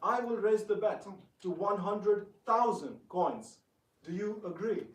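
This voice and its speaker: A man acts out a dignified divine prince who is quick to take offence. His voice is high, his speech formal and firm. It is a dramatic reading in an echoey room, heard some way from the microphone. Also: expressive, recorded from a distance. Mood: indignant.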